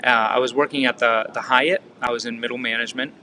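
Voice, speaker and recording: A man with a Massachusetts accent gives a casual coaching talk to real estate agents. His voice is bright and forward at an ordinary middle pitch. He keeps asking the listener questions and stumbles through restarts and fillers. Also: steady tone, quick pace, a little hiss or hum, low-quality recording. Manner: conversational, asks the listener frequent rhetorical questions.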